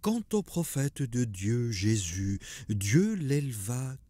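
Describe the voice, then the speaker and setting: A man's voice: mid-range voice, big pitch swings, slow, mellow, dry recording. A man narrating a scripted religious cartoon story as its storyteller.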